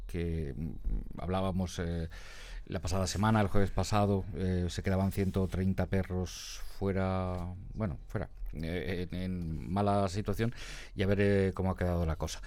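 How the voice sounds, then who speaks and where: Hesitant man radio host, lowish voice. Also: fairly even intonation, normal speed, a little mellow, no room sound. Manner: hesitant, many fillers and restarts. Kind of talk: casual radio chat.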